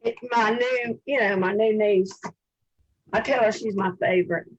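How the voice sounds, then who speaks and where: A woman's voice: medium pitch, normal speed, lo-fi sound. A woman from North Carolina chatting casually as a participant on a group video call. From North Carolina, United States. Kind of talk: casual chat. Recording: noisy, clicky video call audio.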